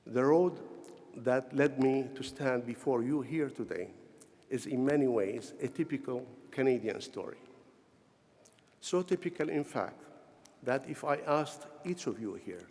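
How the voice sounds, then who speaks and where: A middle-aged or older man from Syria with a Syrian Arabic accent gives a formal, prepared ceremonial speech in measured, deliberate short phrases. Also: medium pitch, darkish tone. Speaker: honorary doctorate recipient giving convocation address. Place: large convocation hall, speaking to graduates. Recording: echoey large hall with long reverb.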